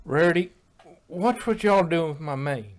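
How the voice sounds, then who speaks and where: A man's voice: a little high, animated, normal speed, voice pushed up, close to an everyday voice. Man putting on a folksy country mare. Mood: alarmed. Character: plain-spoken, folksy female country pony.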